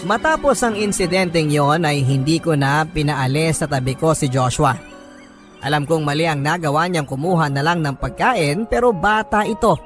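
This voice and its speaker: A man with a fairly high voice delivers dramatic radio narration as a storyteller. He is solemn and reflective, with faint music underneath. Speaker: storyteller reading a listener's letter.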